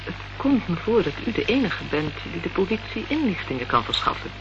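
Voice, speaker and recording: A woman's voice: fairly low pitch, big pitch swings, fairly quick. An actress playing a cool, guarded woman of a political movement in a radio play, formal and evasive. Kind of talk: Dutch radio play acting. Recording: dull, noisy old radio play recording.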